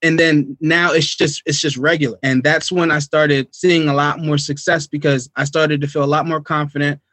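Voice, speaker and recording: American guy from new jersey, kinda high voice, casual podcast guest talk, slangy, really dry sound. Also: flat delivery, normal speed, a bit bright, no echo. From United States, New Jersey. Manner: informal, slangy, repetitive check-in phrases. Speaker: podcast guest, real estate investor. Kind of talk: casual interview storytelling.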